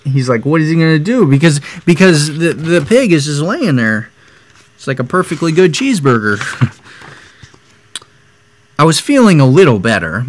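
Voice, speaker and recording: Young male storyteller, mid pitch with lively swings, dramatized read-aloud with chatty asides. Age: young adult. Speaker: storyteller reading a picture book. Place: room at home, indoors.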